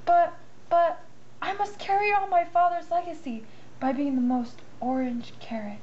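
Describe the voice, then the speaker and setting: A woman's voice: very high voice, steady tone, moderate pace, a little mellow, dull audio, slight background noise. A woman voicing an earnest, dramatic vegetable character in an amateur puppet show. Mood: pleading. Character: zealous vegetable farmer and pleading young carrot.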